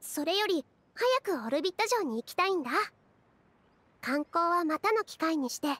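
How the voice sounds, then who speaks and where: A woman playing a gentle, considerate young heroine in a game, speaking softly and casually. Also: extremely high pitch, animated, moderate pace, no room sound. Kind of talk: Japanese anime game acting. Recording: faint music behind.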